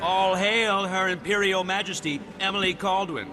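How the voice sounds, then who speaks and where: A man's voice: high-pitched, steady tone, normal speed, loud music behind the voice, lightly acted, poor audio. A man playing a formal court herald in a video game, making ceremonial announcements in a declamatory manner. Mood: ceremonious, proud. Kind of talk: dramatic game acting.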